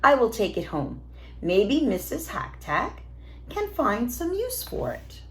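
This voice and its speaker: Woman voicing an animated old storybook husband. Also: on the high side, takes it slowly, a little hiss or hum, voice pushed up, full character voice. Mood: puzzled, musing. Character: old farmer husband, puzzled then delighted, storybook.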